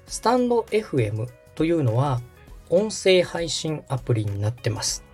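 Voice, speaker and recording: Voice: medium pitch, big pitch swings, moderate pace, darkish tone, breathy edge, faint music behind. A podcast host explaining things step by step in a tutorial manner and checking that the listener follows. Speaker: host and radio director giving a tutorial.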